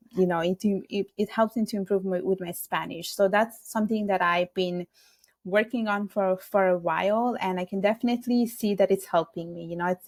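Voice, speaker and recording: A woman's voice: lowish voice, no echo. A woman from Italy whose first language is Bengali, a podcast guest talking in an earnest, reflective way and giving advice. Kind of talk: casual interview chat.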